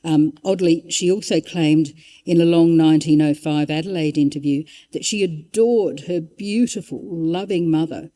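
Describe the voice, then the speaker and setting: A woman's voice: low voice, moderate pace, dark tone. A woman giving an informal history talk, conversational and wry, drifting into asides and reading out quotations. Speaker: public lecturer.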